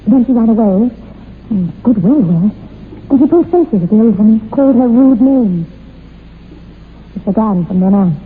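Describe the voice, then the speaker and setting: Actress as troubled woman storyteller, South African accent, high voice, hesitant and earnest, radio horror drama, muffled lo-fi recording. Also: lightly acted. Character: troubled woman recounting her ancestor's dark witch-trial secret. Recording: muffled lo-fi old radio, faint noise.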